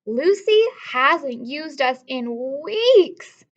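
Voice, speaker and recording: Woman voicing earnest, high-pitched neglected writing tools. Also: lively intonation, dull audio, heavily acted. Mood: indignant. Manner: emphatic, drawn-out storybook delivery. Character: neglected children's writing tools, earnest and eager to prove themselves.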